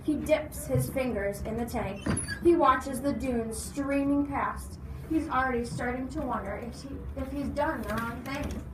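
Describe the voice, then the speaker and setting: A woman's voice: sits high, unhurried. A woman reading a storybook aloud expressively, stopping to explain and ask questions. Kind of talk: animated storybook read-aloud with asides. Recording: noisy, echoey room, distant mic.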